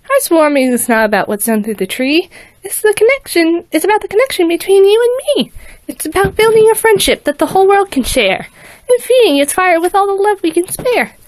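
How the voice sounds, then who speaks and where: A woman voicing a kind, earnest little girl pony who pleads in a high, sweet voice. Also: sing-song, a little fast, dry recording.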